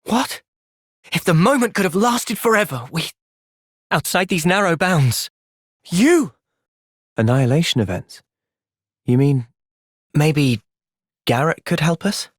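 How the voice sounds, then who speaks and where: An actor playing an earnest, thoughtful young soldier in a video game, soft-spoken and sincere but resolute. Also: male voice, on the high side, animated, measured pace, pauses often, no echo, clean studio sound. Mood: grave, earnest. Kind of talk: video game cutscene acting.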